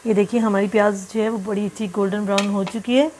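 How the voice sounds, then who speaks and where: A woman hosting a home cooking tutorial, chatting through each step and reading out ingredient amounts slowly, often repeating them. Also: mid-range voice, steady tone, darkish tone, slight background noise. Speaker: cooking channel host. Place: home kitchen.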